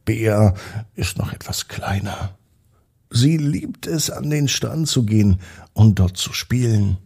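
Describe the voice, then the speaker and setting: Slow, gravelly German man telling bedtime stories. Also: fairly low pitch. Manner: calm, gentle, addresses children directly. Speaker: bedtime story host. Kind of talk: slow, soothing bedtime story narration.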